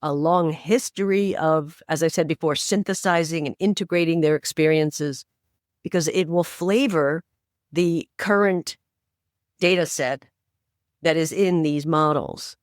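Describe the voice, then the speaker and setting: A woman's voice: low voice, unhurried, dry recording, studio quality. Emphatic woman in her sixties. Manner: emphatic, persuasive, urging listeners on. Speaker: podcast guest, inclusive AI advocate. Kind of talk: conversational interview.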